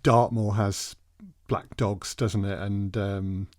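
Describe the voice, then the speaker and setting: A man with a fairly low voice hosts a podcast interview. He phrases things in a measured, slightly hesitant, conversational way, and the sound is close and dry. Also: steady tone, a little mellow, no echo.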